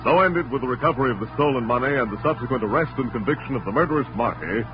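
A man's voice: medium pitch, animated, racing. A man announcing in a polished, emphatic 1940s radio style, reading scripted narration and advertising. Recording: muffled old radio recording, music behind.

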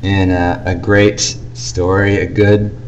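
Guy with a lowish voice gushing on a casual fan podcast, bit of background noise. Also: level tone, slow pace, a little mellow, lo-fi sound. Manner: enthusiastic, effusive. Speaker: podcast co-host. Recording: noisy amateur podcast recording.

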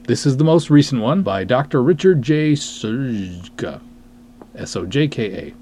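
A man's voice: medium pitch, lively intonation, unhurried, dark tone, slight background noise. A man hosting a podcast monologue, wry, self-deprecating and emphatic as he chats and reads aloud. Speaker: podcast host, writer and director. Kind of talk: podcast monologue with dramatic review readings.

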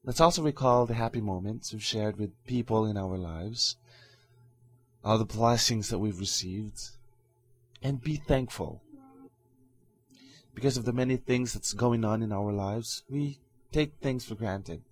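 A man hosting his own podcast, talking calmly and earnestly in a reflective solo monologue with soft fillers. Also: lowish voice, a little slow. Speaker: podcast host.

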